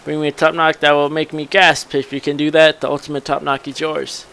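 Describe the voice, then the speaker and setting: A man's voice: a little high, level tone, fairly quick, creaky, fairly bright tone, clean tone, voice pushed up. A man voicing a boastful, devoted topknot master from a game, in a grandiose, theatrical manner.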